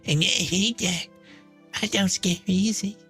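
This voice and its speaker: A man's voice: high voice, unhurried, bright, forceful tone, slightly rough, faint music behind, pitched above the performer's own voice, heavily acted. A man voicing a brash, smug, chain-smoking woman in a dramatized monologue, sneering, profane and boastful. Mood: mocking, indignant.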